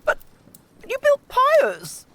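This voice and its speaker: Lady doing a zealous witchfinder guy, pompous and preachy, pitch all over the place, storybook drama. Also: huge pitch swings, drawn-out words, fairly bright tone, full character voice. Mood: baffled, desperate. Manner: declamatory, pompous.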